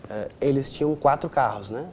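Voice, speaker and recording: A man's voice: mid-range voice, normal speed, a little mellow, slight background noise. A man in his twenties from southern Brazil with a southern Brazilian accent, answering conversationally as an interview guest and often adding tag questions for agreement. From Rio Grande do Sul, southern Brazil. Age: 20s.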